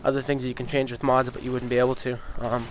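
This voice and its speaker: A man's voice: medium pitch, fast talker. Casual gamer guy with a sniffly cold, rambling and swearing through his commentary, faint hiss behind. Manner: rambling, with many ums and uhs, and swears freely; gets excited and incredulous about game balance. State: stuffy, sniffly nose. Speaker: gaming YouTuber.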